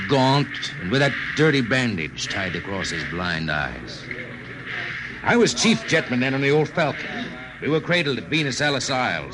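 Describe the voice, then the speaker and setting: Actor as expressive seasoned spaceman storyteller, male. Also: mid-range voice, background noise, lightly acted. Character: seasoned spaceship jetman telling a nostalgic tale. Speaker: narrator. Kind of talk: 1950s radio drama narration. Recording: vintage 1950 radio recording.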